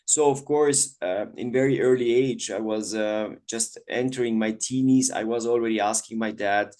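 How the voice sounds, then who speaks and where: Lower-voiced man. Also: moderate pace. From Ecuador. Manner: warm and grateful, with frequent "uh" and repeated words. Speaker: guest. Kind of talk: interview storytelling.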